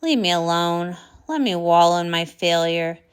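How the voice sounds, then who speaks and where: A woman's voice: fairly low pitch, animated, normal speed, clean tone, pitched below the performer's own voice, lightly acted. A woman voicing a grumpy, self-pitying old male wizard pony in a storybook, grumbling in defeat. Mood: bitter, dejected.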